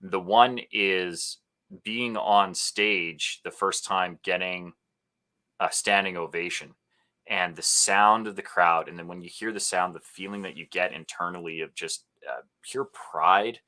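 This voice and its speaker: A man's voice: low voice, unhurried. A man from Alberta, a podcast guest who is an actor and speaker, telling his story animatedly in a casual interview. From Alberta, Canada. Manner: animated storyteller, bursts of laughter and acted-out quotes.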